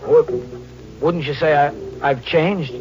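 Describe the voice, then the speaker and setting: An actor playing a panicky, brooding small-time American criminal on the run in a 1940s radio drama, halting and slangy. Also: male voice, fairly high pitch. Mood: uneasy, bewildered. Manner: tense, halting, slangy delivery. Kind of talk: 1940s radio drama first-person narration and acting. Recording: muffled vintage radio recording with music behind.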